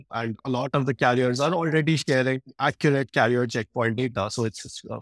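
A man's voice: mid-range voice, dry recording, studio quality. A man speaking as an expert guest in an interview, explaining things in a structured, methodical way. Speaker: guest expert, supply chain practice lead.

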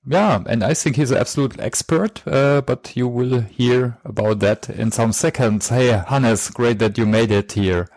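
A man's voice: mid-range voice, measured pace, few pauses, a little mellow, no room sound. A man hosting a podcast interview, speaking hesitantly with many "uh"s and "let's say"s. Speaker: podcast host.

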